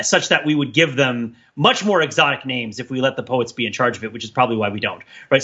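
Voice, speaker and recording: Animated, husky man with a bright edge. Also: fairly high pitch, dull audio. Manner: wry, wordy, playful. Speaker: podcast co-host. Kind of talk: casual intellectual banter.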